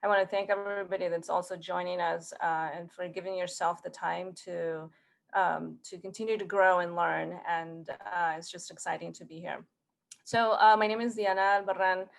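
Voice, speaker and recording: A woman from Mexico whose first language is Spanish, giving a conference career talk in an earnest, halting way with many fillers and restarts. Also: lowish voice, steady tone, gravelly. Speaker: conference speaker.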